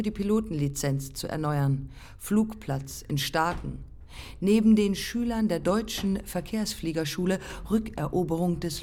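Grave, slow-spoken haunted woman pilot. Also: on the low side, a little mellow, a little hiss or hum. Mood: terse, sombre. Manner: deliberate, fragmentary, incantatory phrasing with repeated lines. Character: dead pioneering German woman pilot, bitter, haunted, grave. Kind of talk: poetic radio play monologue.

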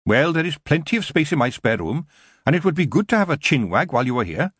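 An old city witch acted out by a male narrator in a storybook, chatty, knowing and motherly. The voice sits well above a man's usual pitch and swoops widely. It teases briskly, with a slightly husky edge. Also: big pitch swings, fairly bright tone, no room sound, studio quality, heavily acted. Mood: satisfied, friendly. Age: older. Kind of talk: audiobook character voice.

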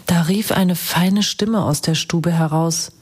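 Casting: female fairy-tale narrator, lowish, breathy, hushed storybook delivery for a radio play. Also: takes it slowly. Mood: hushed, narrating. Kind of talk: storybook reading in a radio drama.

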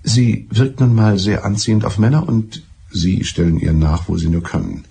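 Smug betrayed husband, radio play, low gravelly voice, mild and pedantic. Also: male voice, mellow, full character voice. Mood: resigned, earnest. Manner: deliberate, pedantic, circuitous. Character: mild, evasive betrayed husband confessing, smugly clever. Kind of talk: German radio drama acting.

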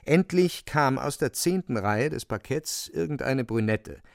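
A man's voice: medium pitch, animated, a little slow, creaky, no room sound, studio quality. A man narrating a literary radio drama as a dramatic storyteller.

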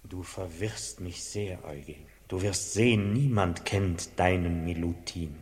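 An actor playing a dignified, sceptical bishop in a German radio drama, admonishing in a measured way. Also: male voice, deep voice. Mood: reproachful, sceptical. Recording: long reverberant hall.

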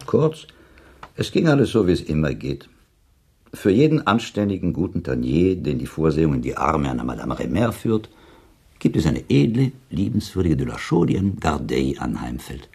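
An actor playing an urbane, cynical listener in a German radio play, arguing formally and with irony. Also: male voice, a bass voice, big pitch swings, normal speed, vocal fry, warm tone, slightly rough, full character voice. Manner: ironic, formal, argumentative. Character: cynical, urbane male listener, provocative, argumentative sceptic. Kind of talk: German radio play dialogue, literary debate.

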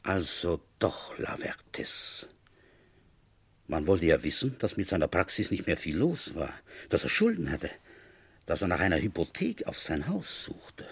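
A man playing an ailing, dogged police inspector in his fifties in a radio crime drama, weary and blunt, with a husky voice. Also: low-pitched, very animated, unhurried, full character voice. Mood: pensive narration. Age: 50s. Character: ailing, dogged French village police inspector, fifty-five. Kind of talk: German radio crime drama acting and first-person narration.

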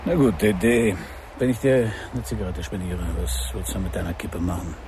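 An actor playing a wry, world-weary private detective in a noir radio play, with a dry, sardonic delivery. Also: male voice, sits low, normal speed, noisy background. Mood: probing, casual. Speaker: narrator and lead of a crime radio play. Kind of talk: first-person noir radio drama narration.

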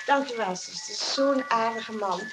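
A woman's voice: fairly high pitch, a little slow, music in the background, close to an everyday voice. An actress playing a smitten, chatty shop lady in a radio comedy, gushing, giggly, flustered and repeating herself. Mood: dreamy, gushing. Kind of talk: radio play comedy acting.

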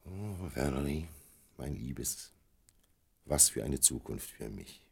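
A tender, secretive lover in a radio drama. His very low, gravelly voice is husky and measured, with lively swings in intonation as he indulges his beloved. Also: exaggerated voice. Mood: tender, wistful. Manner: measured, indulgent, murmuring agreement. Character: indulgent, well-travelled male lover Charles, tender and secretive. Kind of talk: German radio play acting.

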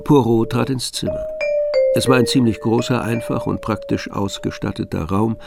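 An actor narrating a detective radio play as a composed storyteller, in a measured, precise literary style. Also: male voice, mid-range voice, fairly even intonation, creaky, over loud music. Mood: composed, descriptive.

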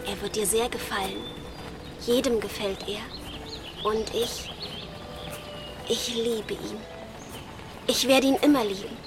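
High-voiced, lovestruck young girl. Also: fairly even intonation, slow, long pauses, over music. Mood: dreamy, adoring. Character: graceful young girl, dreamy, lovestruck, playful sister. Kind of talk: German radio play acting.

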